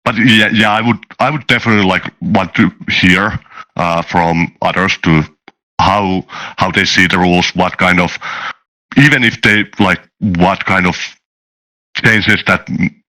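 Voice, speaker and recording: A man's voice: low voice, fairly even intonation, a little slow, sharp tone, poor audio. A man in a casual panel discussion, speaking in a hesitant, stop-start way and repeating words. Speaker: game designer with a software background.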